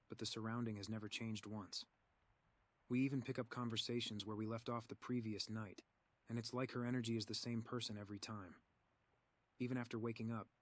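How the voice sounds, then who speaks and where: A man's voice: fairly low pitch, fairly bright tone. An actor playing a troubled young man haunted by recurring dreams, speaking in a subdued, hesitant way. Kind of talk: voiced game dialogue.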